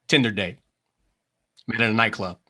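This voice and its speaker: A man's voice: fairly low pitch, moderate pace, sharp tone. An actor at a table read playing a nervous, rattled young man who was duped by his date, speaking earnestly. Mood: dazed. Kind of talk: screenplay table read acting.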